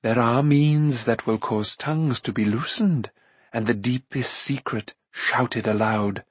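Guy doing a cruel haughty enchantress for an audiobook, slow n menacing, bossy formal talk. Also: mid-range voice, slightly rough, no room sound. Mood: cold, menacing. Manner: haughty, commanding, archaic formal speech. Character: imperious, cunning, cruel female enchantress, coldly threatening. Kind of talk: audiobook character acting.

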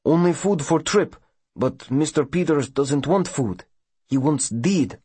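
A man voicing an earnest immigrant passenger who speaks in halting, broken English. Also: fairly high pitch, animated, mellow, husky, no echo, pitched above the performer's own voice, full character voice. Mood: earnest, troubled.

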